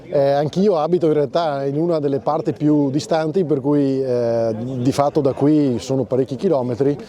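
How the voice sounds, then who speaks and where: A man with a very mellow, dark voice and mid-range pitch answers questions as a local official. He speaks off the cuff outdoors, hesitating and doubling back on his phrases. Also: steady tone, moderate pace. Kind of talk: spoken news interview, unscripted.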